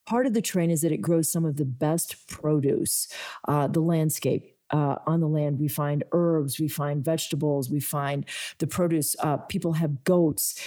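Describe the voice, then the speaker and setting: A woman's voice: sits low, warm tone. A woman hosting a casual interview, chatty and loose, with frequent fillers. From Greek family roots. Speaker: podcast host.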